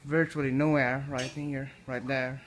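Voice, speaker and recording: A man vlogging indoors about a ceiling fan, holding a phone camera, talking in a halting way with frequent restarts. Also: medium pitch, flat delivery, slight background noise. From Philippines. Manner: halting, repeats words and restarts often. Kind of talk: casual filmed commentary. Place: indoors in a house kitchen. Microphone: handheld phone camera.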